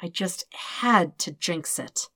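A woman's voice: on the low side, lively intonation, takes it slowly, pushed and bright, breathy edge, no room sound, studio quality, heavily acted. A woman voicing a casual, blunt, long-suffering man with a wry, offhand manner in an audiobook story. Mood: resigned. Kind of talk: acted dialogue in fan fiction audiobook.

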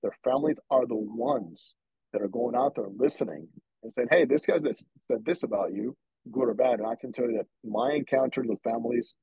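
A man's voice: medium pitch, fairly quick, breathy edge, no room sound, muffled recording. A man from the Dominican Republic, a former player turned broadcaster, earnestly telling stories in an interview and acting out quotes. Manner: earnest, animated, quotes others in voices. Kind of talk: conversational interview storytelling.

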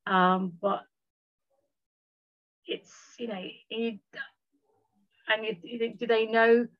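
A woman who stammers, talking haltingly in a casual conversation with repeated words. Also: on the high side, fairly even intonation. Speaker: guest sharing experience. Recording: dull lo-fi recording.

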